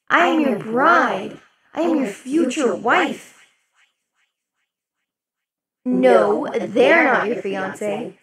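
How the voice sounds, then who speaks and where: A woman voicing a possessive, imperious demon queen in an ASMR roleplay, speaking slowly and sinking into soft whispers. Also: a little high, full character voice. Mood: jealous, forceful. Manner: slow, velvety, dips into whispers. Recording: loud party crowd under the voice. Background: crowd chatter of a party.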